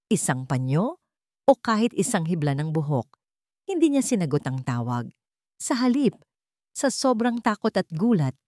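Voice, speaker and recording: A woman narrates a romance drama as a storyteller, reading from a script in a dramatic style. Her voice sits a little low, and the recording is close and dry, with very little room sound. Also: darkish tone, no echo.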